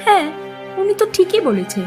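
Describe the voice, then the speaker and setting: A woman playing the nagging, worried wife of a poor village farmer in a cartoon, expressive and quick to scold. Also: very high voice. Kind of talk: cartoon character dialogue. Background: loud music.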